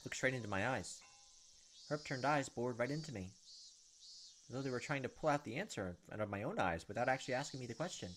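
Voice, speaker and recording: A man's voice: mid-range voice, expressive, fast, a bit bright, slight background noise. A man reading visual novel narration aloud as a gameplay host, breaking in with blunt, informal reactions. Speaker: let's play host. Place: indoors at a desk, webcam gameplay. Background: game music, insect sounds.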